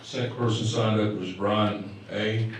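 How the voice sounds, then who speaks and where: A man's voice: on the low side, flat delivery, measured pace. A man chairing a school board meeting, calling speakers up politely with fillers. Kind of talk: meeting procedure. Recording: very echoey room, distant mic, noisy.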